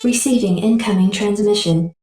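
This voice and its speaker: A woman's voice: medium pitch, normal speed, dark tone, reverberant, distant mic. A woman playing an impassive computer adjutant, making clipped formal announcements through processing in a video game.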